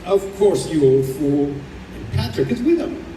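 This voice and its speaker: A man's voice: fairly high pitch, very animated, a little slow, mellow. A man playing a devoted, teasing young husband with a past life as a wife, in an old-time radio play recorded in a roomy space. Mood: gleeful, teasing. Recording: roomy live stage recording, distant, faint noise.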